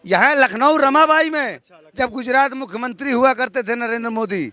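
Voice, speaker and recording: A man's voice: very high-pitched, normal speed, pushed and bright. A middle-aged man, speaking emphatically and bitterly as an interviewed party worker. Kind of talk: aggrieved street interview.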